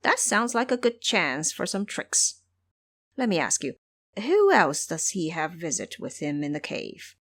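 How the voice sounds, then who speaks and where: A woman's voice: lowish voice, very animated, a little slow, fairly bright tone, no room sound, clean studio sound, full character voice. A woman voicing a cunning, brash male monkey hero in an audio drama, with a sly, boastful, theatrical manner. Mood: shrewd, commanding. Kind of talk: audio drama character acting.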